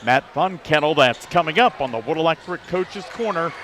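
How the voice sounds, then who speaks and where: A man's voice: fairly high pitch, animated, normal speed, fairly bright tone. A man hosting a sports pregame broadcast. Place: busy high school gym. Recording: noisy gym with bouncing basketballs.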